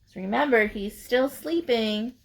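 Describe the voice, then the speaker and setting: Female children's read-aloud teacher: unhurried, higher-pitched, sing-song, explains as she goes. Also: creaky. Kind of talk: picture-book read-aloud.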